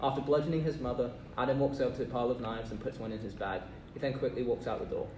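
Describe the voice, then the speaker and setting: A man's voice: mid-range voice, steady tone, racing. A man reading stage directions aloud from a script. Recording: echoey, distant room with noise.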